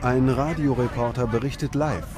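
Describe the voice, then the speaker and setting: A man narrating a scripted documentary in a measured, dramatic storytelling manner. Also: medium pitch, crowd noise.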